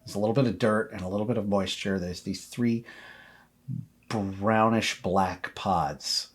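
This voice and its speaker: A man with a deep voice acts as game master for a tabletop horror game. He narrates the scene to his players in a conversational way and calls for dice rolls. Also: unhurried.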